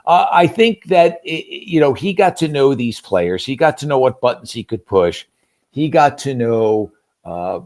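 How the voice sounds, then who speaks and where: A man's voice: medium pitch, darkish tone. A man giving conversational sports analysis as a guest commentator, talking emphatically and posing rhetorical questions. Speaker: guest sports radio play-by-play announcer.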